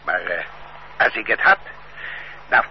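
A man's voice: low-pitched, very animated, measured pace, creaky, heavily acted. An actor playing an idle older man, a former factory worker who grumbles and stammers out daydreams, in a radio play. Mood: dreamy. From Netherlands. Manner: grumbling, stammering repeats, dreamy. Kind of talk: radio drama acting. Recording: dull lo-fi radio play recording with faint hiss.

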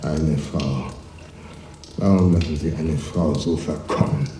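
An actor playing an officious Soviet functionary in a radio drama, urgent, formal and insistent. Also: male voice, extremely low pitch, takes it slowly, warm tone, a little hiss or hum. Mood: guarded, formal. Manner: commanding, formal, pressing. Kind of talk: German radio drama acting.

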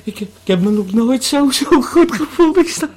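Man acting a husky, stammering, tripping holidaymaker. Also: extremely high pitch, expressive. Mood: elated, dazed. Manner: halting, stammering, repeating words. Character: giggling, uninhibited tripping holidaymaker in swimming trunks. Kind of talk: radio play monologue.

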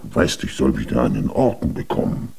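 An actor playing a vain, domineering giant father in a children's radio drama, pompous and easily indignant, with a rough voice. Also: a bass voice, big pitch swings, fairly quick, darkish tone, heavily acted.

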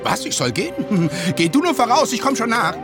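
A comic, food-loving birdcatcher in a radio play, high in pitch and leaping all over the range. He blusters and exclaims like an excitable coward, with loud music behind him. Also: a little fast. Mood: cheeky defiance. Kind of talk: German radio play adaptation of an opera.

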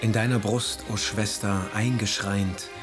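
An actor playing a grave, brooding romantic poet, solemnly reciting verse in a radio drama. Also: male voice, on the low side, steady tone, unhurried, natural voice. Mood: solemn, devoted. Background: instrumental music.

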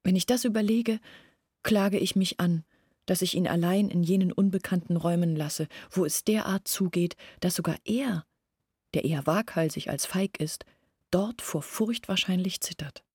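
An anxious village woman in a literary radio drama, thinking aloud earnestly and deliberately. Her voice sits a little low, with a breathy, husky grain. The sound is very dry and intimate. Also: a little mellow, no room sound. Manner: earnest, deliberate, searching, questioning herself. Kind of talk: literary radio play acting, long monologues. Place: dry studio.

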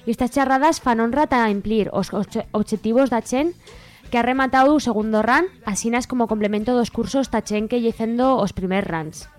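A woman's voice: fairly high pitch, moderate pace. A woman reading scripted announcements as a radio presenter. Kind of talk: scripted announcements read aloud. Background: faint music.

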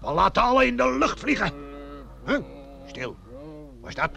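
High, creaky, pompous village man. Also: very animated, normal speed, pushed and bright, full character voice. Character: cautious, self-important village man planning military tactics. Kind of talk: radio play acting.

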